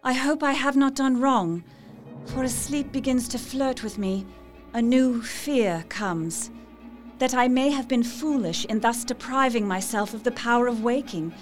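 A woman playing a gentle, anxious young Victorian wife, narrating her diary in an audio drama. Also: fairly high pitch, measured pace, lightly acted. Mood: drowsy, uneasy. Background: faint music.

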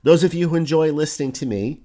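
A man with a hoarse voice hosting a solo podcast, explaining ideas in a conversational way with examples. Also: a little high, quick pace, mellow. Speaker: podcast host. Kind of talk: solo podcast talk on online business.

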